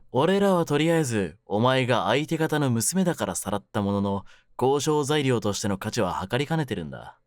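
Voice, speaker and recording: A man's voice: dry recording, clean studio sound, close to an everyday voice. Man acting a cool, swaggering young mafia kidnapper. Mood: calculating, instructive. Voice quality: low-pitched, hushed at menacing moments. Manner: rough masculine speech, smug mock politeness. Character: cool, menacing young male mafia kidnapper, teasing but softening. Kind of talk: ASMR situation voice drama, intimate one-sided roleplay.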